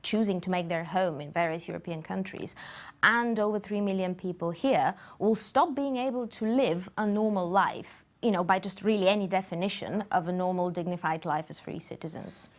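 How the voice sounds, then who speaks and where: A woman from Bulgaria, answering interview questions in a studio in a measured way, pausing often with fillers. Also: on the low side. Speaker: campaign group guest.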